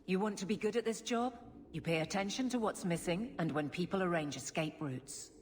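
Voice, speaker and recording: A woman's voice: on the low side, brisk, a little hiss or hum, close to an everyday voice. An actress playing a stern, practical city guardswoman in a fantasy game, blunt and businesslike. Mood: brisk, firm. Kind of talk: fantasy video game acting. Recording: long reverb, loud music behind.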